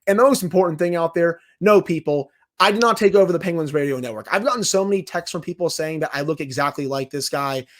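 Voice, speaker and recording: A man's voice: a little high, quick pace, hardly any pauses. A man co-hosting a sports podcast, giving a news rundown in an emphatic, announcer-like delivery. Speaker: podcast co-host. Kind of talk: sports podcast commentary, scripted-sounding intro and news rundown.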